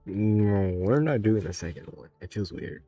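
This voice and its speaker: Low, gravelly male game streamer. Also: animated, normal speed, mellow, no room sound. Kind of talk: casual live reaction commentary. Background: game music.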